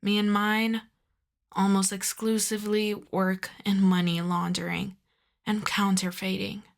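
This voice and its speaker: Audio drama casting: female mafia boss acted by a woman, wry and courteous under exasperation, sweary, medium pitch, leisurely delivery. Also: level tone, unhurried, creaky. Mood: wry, apologetic. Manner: wry, sweary, conversational, addresses listener as darling. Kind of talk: roleplay audio drama, ASMR.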